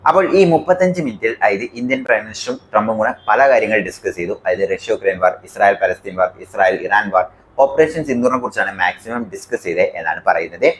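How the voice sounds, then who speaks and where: A man's voice: fairly low pitch, fast talker, slight background noise, low-quality recording. A man from India with a Malayali accent explains the news to viewers as a commentary host, emphatic and point by point.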